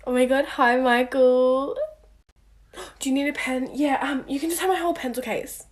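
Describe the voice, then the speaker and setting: A woman's voice: sits high, fairly even intonation, normal speed, natural voice. A woman playing an eager, smitten schoolgirl with a gushing manner in a comedy sketch.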